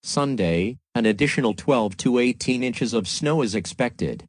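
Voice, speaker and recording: A man's voice: mid-range voice, expressive, a little slow, no room sound. A man playing a weather service announcer, reading a scripted forecast in a formal, matter-of-fact way.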